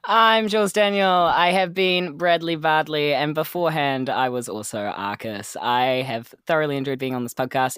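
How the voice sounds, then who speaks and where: A New woman with a very deep, clear voice, closely and drily recorded. She chats casually on a podcast with dry, playful humour. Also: dry recording. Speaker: podcast player. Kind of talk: casual chat.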